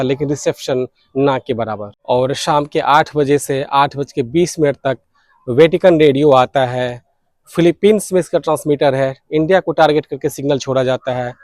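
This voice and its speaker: A man's voice: on the high side, darkish tone, dry recording, clean studio sound. A man narrating informally as a vlog host, explaining things step by step. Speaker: shortwave radio vlogger, host.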